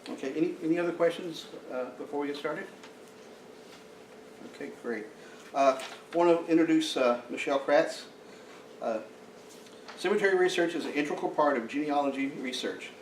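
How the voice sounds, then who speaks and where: A man introduces a guest speaker at a society meeting, stumbling over his words with frequent fillers. He is heard from some distance in a roomy, echoing hall. A faint hiss hangs under his mid-pitched voice. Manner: halting, frequent uh fillers and restarts. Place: meeting room. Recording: echoey room, mic from far off.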